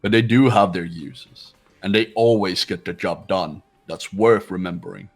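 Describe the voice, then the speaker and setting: A man voicing a composed, haughty young man in a fan-dubbed game, speaking in a measured, aloof and formal way. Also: deep voice, steady tone, creaky, faint music behind, pitched below the performer's own voice. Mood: aloof, intrigued. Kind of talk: fan voice acting of a visual novel game.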